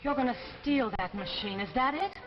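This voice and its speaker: A woman's voice: sits high, expressive, moderate pace. An actress playing a tough, wary girlfriend with dry, plainspoken delivery in a 1950s television drama. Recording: dull vintage 1950s broadcast, reverberant, loud music.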